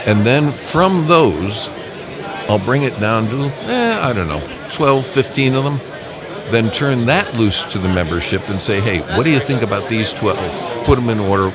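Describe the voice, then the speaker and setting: A man's voice: mid-range voice, animated, normal speed. A man speaking as a guest in a casual live interview, explaining his points emphatically and step by step. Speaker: amateur radio association representative, interview guest. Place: busy convention event. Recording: busy convention crowd noise behind. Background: crowd chatter.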